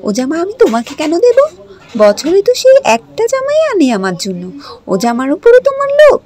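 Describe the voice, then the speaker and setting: A woman's voice: very high voice, huge pitch swings, a little fast, pitched above the performer's own voice, full character voice. A woman voicing a hot-tempered but kind village girl in a cartoon, blunt and defiant. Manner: blunt, defiant, sharp-tongued. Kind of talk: cartoon voice acting.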